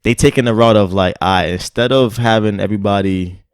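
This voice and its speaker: A man's voice: lowish voice, brisk, clear, resonant tone, dry recording, studio quality. A young man co-hosting a podcast in casual chat, laid-back and hesitant, with many fillers. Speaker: podcast co-host.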